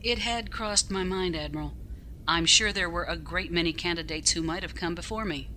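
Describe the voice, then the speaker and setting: A woman's voice: fairly low pitch, normal speed, low-quality recording. A woman playing a stern, no-nonsense starship captain in a science fiction audio drama, with clipped, formal authority. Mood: formal, measured. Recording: steady background ambient noise.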